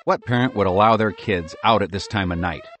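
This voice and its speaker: A man's voice: lowish voice, a little fast, noisy background, natural voice. A man voicing a concerned middle-aged father who speaks urgently and turns commanding when he calls out.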